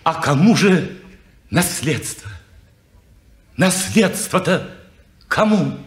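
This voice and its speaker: An actor playing a petty, scheming clerk who mutters sarcastically in a 1950s radio drama. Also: male voice, a little high, big pitch swings, takes it slowly, roomy sound.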